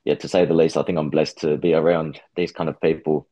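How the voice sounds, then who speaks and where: Low-voiced man, dull lo-fi audio. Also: level tone, a little fast, mellow, no echo. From Australia. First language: Japanese. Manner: hesitant, restarts phrases often. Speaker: guest. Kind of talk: casual interview over a call.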